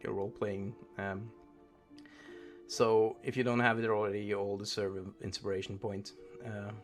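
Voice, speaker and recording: A man's voice: fairly low pitch, little pitch movement, normal speed, soft background music. A man from Norway with a Norwegian accent, chatting casually as the game master and host of a streamed tabletop game. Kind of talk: casual post-game chat.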